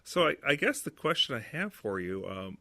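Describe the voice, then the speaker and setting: A man's voice: medium pitch, big pitch swings, moderate pace, vocal fry, bright, forceful tone, no room sound. A man hosting a roundtable discussion, putting questions to his guests in a casual way with fillers. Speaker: podcast host, moderator.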